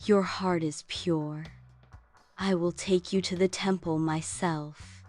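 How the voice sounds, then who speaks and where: Female angel guardian for bedtime story, acted by a woman: gentle, solemn, low-pitched, unhurried, faint music underneath. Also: fairly even intonation. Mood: kind. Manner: measured, formal, benevolent. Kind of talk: scripted children's bedtime story acting.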